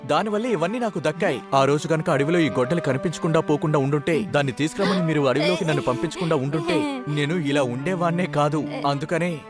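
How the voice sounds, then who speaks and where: Soft-spoken honest young guy in a cartoon, man voicing him, a bit high, polite and earnest, music behind. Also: lively intonation, fast talker, close to an everyday voice. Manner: patient, polite, earnest explaining. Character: kind, honest younger brother who became rich, gentle. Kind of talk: cartoon dubbing. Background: background music.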